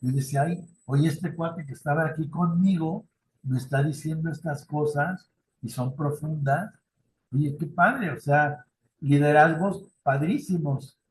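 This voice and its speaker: A man's voice: fairly high pitch, unhurried, roomy sound, poor audio. A middle-aged man with a Mexican Spanish accent leading a youth ministry training session, talking conversationally with frequent tag questions and personal anecdotes. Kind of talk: online formation talk. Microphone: video call.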